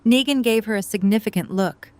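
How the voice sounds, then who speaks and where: A woman's voice: mid-range voice, brisk, a little mellow. A woman narrator reading scripted story narration for an audio drama.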